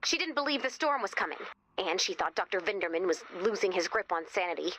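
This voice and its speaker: A woman playing an eager, curious young researcher who guides players in a video game, chatty and expressive as she thinks aloud. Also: mid-range voice, quick pace, slight background noise, close to an everyday voice, low-quality recording. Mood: wary. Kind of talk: scripted video game dialogue.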